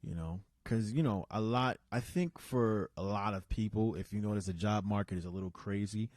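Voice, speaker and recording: Low-voiced man in loose podcast chat. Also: normal speed, dry recording, clean studio sound. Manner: rambling and conversational, with many restarts and asides; reads out shout-outs to live listeners. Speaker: podcast host. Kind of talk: casual unscripted podcast chat.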